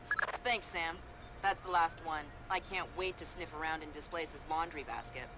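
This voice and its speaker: A woman's voice: deep voice, fairly even intonation, moderate pace, background noise, natural voice. An actress playing a young, perky female tech analyst guiding a spy in a video game, playful and informal. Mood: informal, helpful.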